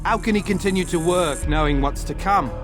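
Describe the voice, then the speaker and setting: A man's voice: high voice, brisk, over music, lightly acted. A man playing a concerned, inquisitive townsman and discreet confidant in a video game. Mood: concerned, curious. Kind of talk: video game cutscene acting.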